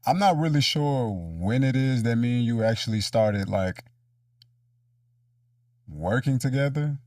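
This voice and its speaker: A man's voice: mid-range voice, expressive, a little slow, a little mellow, no echo, studio quality, distant mic. A man hosting a casual, reflective conversational interview in informal language. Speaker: interviewer, show host.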